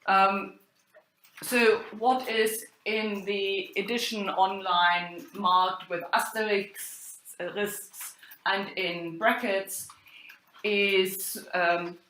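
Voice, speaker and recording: A woman giving an academic lecture as a university lecturer, speaking haltingly and thinking aloud. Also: medium pitch, steady tone, fairly bright tone, a little hiss or hum. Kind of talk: academic lecture on medieval drama. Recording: roomy, echoing sound.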